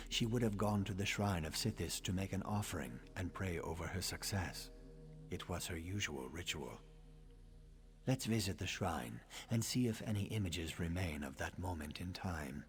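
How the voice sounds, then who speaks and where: An actor playing a brooding male assassin in a video game, speaking in a grave, deliberate and articulate way. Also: low-pitched, animated, soft background music. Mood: brooding, intent. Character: brooding, deep-voiced male assassin investigating a betrayal. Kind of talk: dramatic video game acting.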